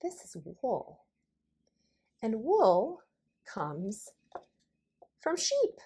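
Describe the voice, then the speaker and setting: Middle-aged female preschool teacher, unhurried delivery with big swings in pitch, plain phrases for little kids. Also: wildly swooping pitch, mellow, slightly rough. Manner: simple deliberate phrasing with questions to young children. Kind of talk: children's lesson and read-aloud.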